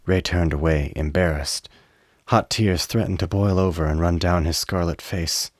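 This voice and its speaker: A man narrating a radio play with measured, literary dramatic storytelling. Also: deep voice, dry recording, studio quality.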